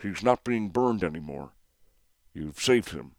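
A man voicing a gentle, calm silver construct man who speaks softly and kindly, in an audiobook. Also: low-pitched, no room sound, clean studio sound. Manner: soft, gentle, measured.

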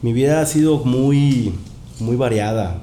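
A man's voice: measured pace, mellow. A man chatting casually as a podcast guest. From Mexico and Panama. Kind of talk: casual chat. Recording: roomy echo, noticeable rumbling noise.

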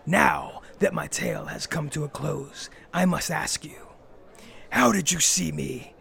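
A grandiose vigilante of the night in a comic fantasy audio drama. His voice is very gravelly and often drops toward a whisper, yet sits a little high for a man. He draws out his portentous words slowly, with total, absurd earnestness. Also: lively intonation, pushed and bright, full character voice. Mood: solemn. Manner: slow, pompous, theatrically portentous. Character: self-styled male dark vigilante, grandiose, melodramatic, absurdly earnest. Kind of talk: comedic fantasy audio drama, brooding monologue.

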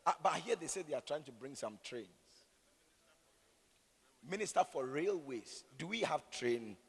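Animated raspy Ghanaian male preacher in hall. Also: on the high side. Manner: animated, rhetorical questions, repeats phrases for emphasis. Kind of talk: sermon with conversational, opinionated asides. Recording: echoey hall with long reverb.

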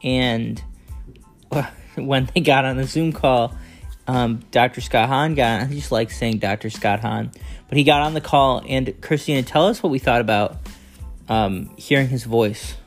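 Loud, resonant man hosting a podcast. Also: mid-range voice, a little slow, faint music behind. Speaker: podcast host. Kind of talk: casual chat. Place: living room at home. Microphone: phone.

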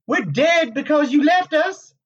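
A man's voice: extremely high pitch, lively intonation, unhurried, recorded from a distance, dull audio, pitched above the performer's own voice. A man voicing a mournful, accusing young woman ghost in a pained, pleading storybook reading.

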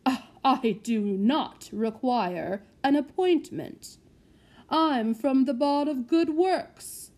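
A woman voicing a haughty, stiff aristocratic gentleman who speaks slowly and commandingly. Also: high-pitched, expressive, pitched above the performer's own voice, heavily acted.

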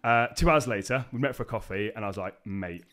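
A male podcast host talks quickly and casually, leaning on slang and easy encouragement to keep his guest going. His voice sits fairly low and he rattles through his questions at a brisk clip. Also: a bit bright. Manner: chatty, encouraging, uses casual slang like "mate" and "crack on". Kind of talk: interview hosting.

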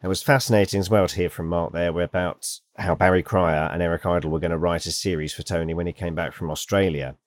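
Very deep-voiced male podcast host. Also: fairly even intonation, fast, hardly any pauses, no echo, clean studio sound. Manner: chatty, hesitant restarts, chuckling asides. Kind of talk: casual podcast chat.

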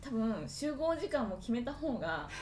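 A woman's voice: fairly high pitch, sing-song, unhurried, darkish tone, a little hiss or hum. A woman co-hosting a casual group chat, reasoning in a frank, teasing manner. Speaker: podcast co-host. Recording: roomy, with background chatter.

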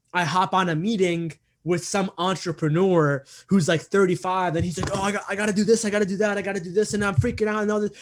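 A man in his twenties talking earnestly in an interview, repeating himself often and filling gaps with "um" and "like". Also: high-pitched. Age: 20s. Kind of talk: casual interview talk.

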